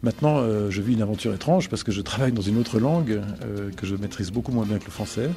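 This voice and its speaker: A middle-aged man talking on the radio about songs he loves, thoughtful and pausing often to find his words. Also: on the low side, dark tone, faint music behind. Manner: thoughtful, searching for words, many pauses and restarts. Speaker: radio guest, broadcasting executive. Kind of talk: reflective radio talk about favorite songs.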